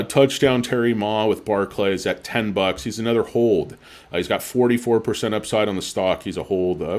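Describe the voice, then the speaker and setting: A tired man rants through a stock livestream in a fairly low voice. He is crude and profane, hurling mocking insults as he talks. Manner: sarcastic and mocking. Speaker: livestream host. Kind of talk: ranting livestream stock commentary.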